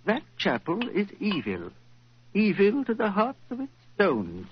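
An actor playing a solemn, courteous ghost investigator in a 1940s radio drama, formal and theatrical, on a muffled old recording. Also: male voice, sits high, wildly swooping pitch, unhurried, a little hiss or hum, heavily acted. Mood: ominous.

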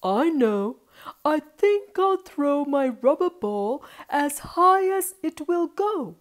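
A woman voicing an excitable, playful little boy in a children's storybook, exclaiming dramatically. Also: high voice, slow, voice pushed up, heavily acted. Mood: excited.

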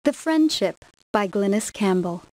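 A woman narrating a rhyming children's story from a script in measured, rhythmic verse. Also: medium pitch, lively intonation, darkish tone.

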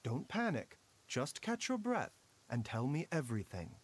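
A man's voice: medium pitch, wildly swooping pitch, slight background noise. A man voicing a courteous, formal pharmacist doctor in a game. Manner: polite, formal, measured. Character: courteous, smooth-spoken male pharmacist doctor.

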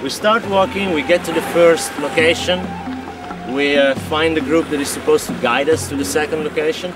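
A man's voice: on the high side, fairly even intonation, normal speed, far from the mic, over music. A man in his thirties on an expedition crew, telling the story frankly in lightly broken English. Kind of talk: documentary narration and field talk.

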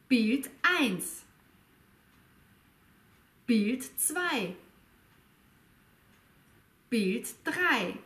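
A woman in her fifties teaching a language lesson, speaking slowly and over-articulating every word. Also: on the high side, fairly bright tone. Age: 50s. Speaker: German teacher. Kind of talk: slow, clear language lesson. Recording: roomy echo.

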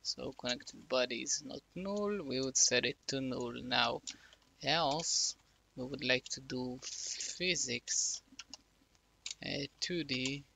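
A man slowly talking through a coding tutorial, thinking aloud with many fillers. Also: mid-range voice, gravelly, sharp tone, slight background noise, rough recording. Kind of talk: casual screen-recorded coding tutorial.

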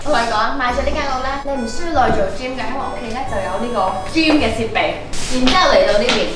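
A woman's voice: fairly high pitch, quick pace, close to an everyday voice. A woman playing a boastful house-selling hustler. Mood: boastful sales pitch. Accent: Hong Kong Cantonese. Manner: salesy, grandiose patter. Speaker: comedy skit performer. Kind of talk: comedic sketch house tour. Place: bright indoor apartment. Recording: echoey room, far mic, background music.

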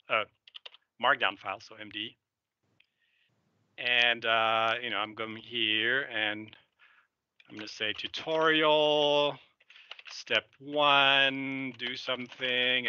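A middle-aged man from Belgium, a native French speaker, casually walks through a live software demo on a headset, thinking aloud with many fillers. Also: medium pitch, dry recording, dull audio. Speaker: software developer giving a demo. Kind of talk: live screen-share product demo. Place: indoor room, seen on webcam.

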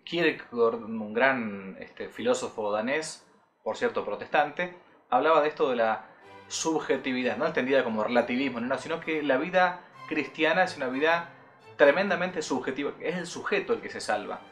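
A man's voice: mid-range voice, lively intonation, moderate pace, a bit bright, soft background music. A man, a priest interviewing a guest, posing thoughtful questions. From Argentina. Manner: thoughtful, explanatory, uses voseo. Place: bright living room with curtains.

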